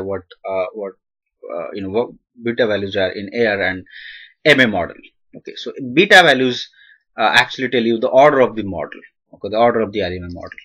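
A man's voice: lowish voice, fairly bright tone, muffled recording. A young man with an Indian accent, his first language not English, answering viewer questions in a recorded slide lecture, full of "uh" fillers and restarts. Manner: hesitant, many "uh" fillers and restarts. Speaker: analytics instructor.

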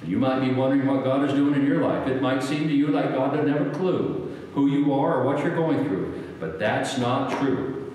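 An older American man preaching a sermon as a pastor, repeating phrases for emphasis with deliberate pauses. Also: mid-range voice, a little mellow. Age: 60s or older. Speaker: guest preacher, pastor and former military chaplain. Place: church sanctuary, at a wooden lectern. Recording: very echoey church hall, long reverb.

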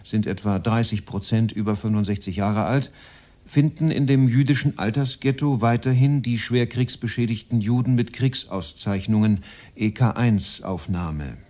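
Mellow, coldly bureaucratic Nazi official, played by a man. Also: fairly low pitch, lightly acted. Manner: measured, bureaucratic. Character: cold Nazi official chairing a meeting, bureaucratic, male. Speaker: actor in a radio docudrama. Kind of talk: formal speech at a meeting in a radio play.

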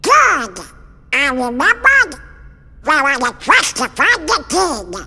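Squawking, excitable cartoon duck. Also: sits high, takes it slowly, full character voice. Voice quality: squawky, quacking, garbled. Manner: excitable, blustery. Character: short-tempered male cartoon duck mage with a squawking quack. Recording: long hall reverb over background music.

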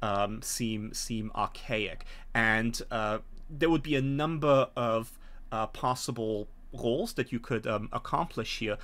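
A man acting as game master, explaining a scenario in a hesitant, halting way. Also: mid-range voice, fairly bright tone. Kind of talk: narrating a tabletop game scenario. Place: small home room, video call.

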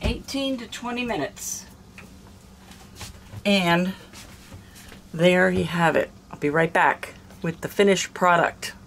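An older woman with a hoarse throat, chatting casually through a cooking vlog in a small camper kitchen. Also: on the low side, takes it slowly, long pauses, a little hiss or hum. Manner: chatty, homey, rambling. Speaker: cooking vlogger.

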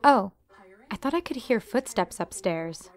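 A woman's voice: on the high side, lively intonation, unhurried. A young woman playing a polite, cautious guest in an amateur audio drama. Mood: wary.

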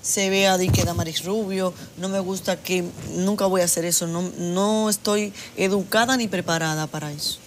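A woman's voice: on the low side, fairly even intonation, normal speed, hardly any pauses, noisy background. This is a middle-aged woman, speaking as a guest in a television studio. She talks earnestly, restarting and repeating herself as she works through her points. A faint studio ambience sits underneath her lapel-mic sound. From Dominican Republic. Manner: earnest, repetitive, restarts often. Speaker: fashion designer guest. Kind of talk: TV studio interview. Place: TV studio set.

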